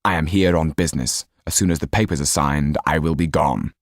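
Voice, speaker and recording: A man voicing a sinister, coldly composed count with a smooth, deliberate, menacing manner. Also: extremely low pitch, no room sound, clean studio sound, pitched below the performer's own voice. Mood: deadly earnest.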